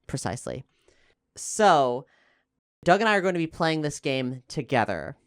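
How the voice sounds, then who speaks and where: A man's voice: a little high, very animated, moderate pace, a bit bright, clear, resonant tone, dry recording, studio quality. A man hosting a casual podcast discussion, explaining things in a chatty, conversational way. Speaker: podcast host and player.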